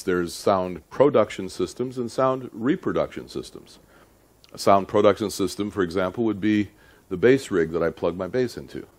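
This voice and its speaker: Man, middle-aged, low-pitched voice, seminar lecturer, deliberate delivery, emphatic key words, conversational, checks in with listeners. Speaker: audio engineering lecturer.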